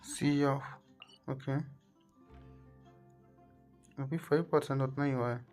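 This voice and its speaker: A woman's voice: extremely low pitch, fairly even intonation, very fast, darkish tone. A woman with an Indian accent chatting casually as she hosts a gaming live stream. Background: faint game music.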